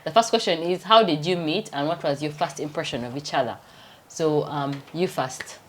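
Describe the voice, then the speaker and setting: A woman's voice: deep voice, normal speed, slight background noise. A Ugandan woman in her thirties with a Ugandan accent, hosting a chatty, rambling home vlog. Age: 30s. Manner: chatty, laughs often, rambling. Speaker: vlogger and doctor. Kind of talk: casual vlog chat.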